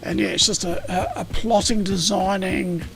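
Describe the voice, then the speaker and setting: A man streaming a factory-building game, explaining it in a rambling way with a slightly croaky voice. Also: sits high, fairly even intonation, normal speed. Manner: rambling, self-correcting, explanatory. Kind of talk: casual gameplay explanation. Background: game music.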